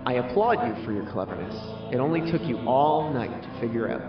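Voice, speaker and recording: A man acting the ghost of a soldier in an audio drama, secretive and measured but insistent. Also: medium pitch, expressive, lightly acted. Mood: calm, sly. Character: ghost of a soldier, secretive, urging, haunted by past. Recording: echoey, distant, dull sound under loud music.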